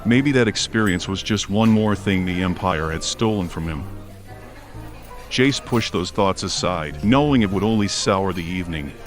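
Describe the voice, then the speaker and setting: A man's voice: low voice, expressive, normal speed. A man reading scripted fiction as an audiobook narrator, telling the story in prose. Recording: faint music behind narration. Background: faint music.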